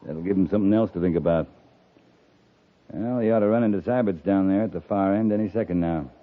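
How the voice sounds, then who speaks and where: A man's voice: low-pitched, fast talker, lightly acted. Muffled, stern cavalry captain acted by a man. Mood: wry, settled. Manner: commanding, clipped, sternly challenging. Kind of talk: 1950s radio western acting. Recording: muffled lo-fi 1950s radio recording.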